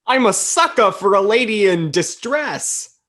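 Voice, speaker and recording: A woman playing a wisecracking, hardboiled detective in a 1940s-style noir radio parody, speaking deliberately. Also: mid-range voice, big pitch swings, a bit bright. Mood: wry, cool.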